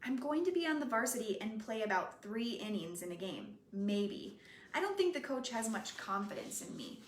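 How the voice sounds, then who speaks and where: A woman reading the part of a spirited, caring teenage girlfriend, teasing and unhurried, in an echoey room. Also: on the high side, sing-song, a little hiss or hum. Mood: discouraged. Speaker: reader.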